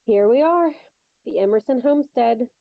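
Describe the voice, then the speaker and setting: Female best friend, horror drama, read by an actress: teasing, protective, wry, gravelly fry, dull lo-fi sound. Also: fairly high pitch, expressive, normal speed, no echo. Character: Lex, teasing, protective young woman friend. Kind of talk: table read of a horror film script.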